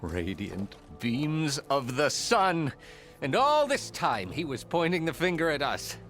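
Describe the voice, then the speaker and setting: An actor playing a stern, formal, sun-worshipping magistrate in a video game, with a declamatory delivery. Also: male voice, fairly high pitch, very animated, slow pace, fairly bright tone, faint music behind, full character voice. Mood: indignant. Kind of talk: game cutscene dialogue.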